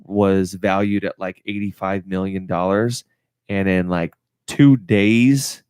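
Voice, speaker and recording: A man's voice: low-pitched, steady tone, slow, darkish tone, smooth, no echo. A man co-hosting a casual podcast, telling long rambling stories, swearing freely and laughing often. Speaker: podcast co-host.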